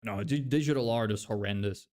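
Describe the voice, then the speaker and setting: A man's voice: on the low side, brisk, a little mellow. A young man whose first language is Lithuanian, chatting casually on a livestream as he reads and answers chat, rambling with many fillers and restarts.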